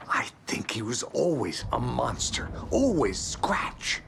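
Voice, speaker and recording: Hard-boiled detective type for a game, unhurried and deep-leaning, weary and deliberate, slight background noise. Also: male voice, sing-song, creaky, exaggerated voice. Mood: brooding. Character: weary, brooding male federal agent, hard-boiled and wary. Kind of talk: video game cutscene acting. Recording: light ambient background noise.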